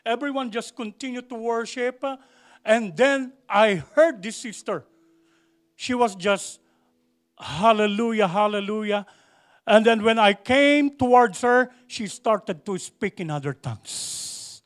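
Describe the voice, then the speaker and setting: A man's voice: high-pitched, unhurried. A man preaching a sermon to a congregation, repeating phrases for emphasis and asking the church questions. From Philippines. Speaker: pastor.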